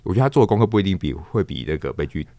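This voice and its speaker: A man's voice: low-pitched, animated, rapid-fire, gravelly, mellow, dry recording. A middle-aged man with a Taiwanese accent talking reflectively as an interview guest, searching for his words and repeating phrases. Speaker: guest, stage actor and storyteller.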